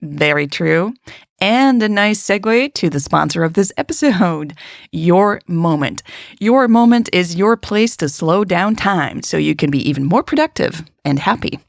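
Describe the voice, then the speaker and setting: Casting: woman voicing an affable male podcast host, polished banter, low and gravelly, for audio fiction. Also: expressive, unhurried, no room sound, clean studio sound. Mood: smooth sponsor plug. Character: Steven, chatty male true-crime podcast host.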